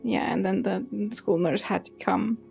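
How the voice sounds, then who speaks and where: A woman's voice: mid-range voice, steady tone, normal speed, vocal fry, a little mellow, soft background music. A woman whose first language is Romanian, chatting casually on a stream and teasing her co-host with frequent laughter. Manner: giggly, teasing.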